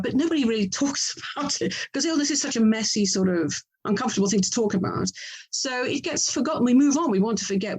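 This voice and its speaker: A woman's voice: mid-range voice, darkish tone, low-quality recording. English woman, reflective and meandering. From England. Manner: reflective, meandering, self-correcting. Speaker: interviewed author. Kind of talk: conversational interview.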